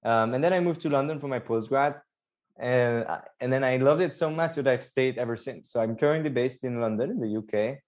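A man's voice: mid-range voice, brisk, darkish tone. Chatty young man from Belgium. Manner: upbeat, explains at length with fillers. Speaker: startup co-founder guest. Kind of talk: casual podcast interview.

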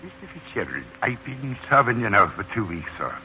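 Sly, deferential Irish leprechaun butler, theatrical and very animated, for vintage radio play on muffled old broadcast. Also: male voice, mid-range voice, a little fast, slight background noise. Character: wily, servile old leprechaun posing as Irish manservant. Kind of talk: 1940s radio acting.

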